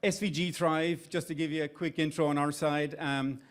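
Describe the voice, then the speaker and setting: A man's voice: fairly high pitch, fairly even intonation, normal speed. A middle-aged man with an Irish accent, giving conversational opening remarks at a live event, with restarts and fillers. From Ireland. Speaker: CEO of an investment firm giving opening remarks. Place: event venue with an audience.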